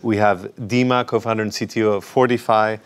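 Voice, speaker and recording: A man moderating a conference panel, speaking haltingly with many fillers and restarts. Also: fairly low pitch, little pitch movement. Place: conference stage.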